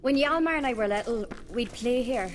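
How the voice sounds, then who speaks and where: A woman playing a bold, sharp-witted young noblewoman in a video game, spirited, wry and expressive. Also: on the high side, moderate pace, lightly acted. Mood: wistful, teasing.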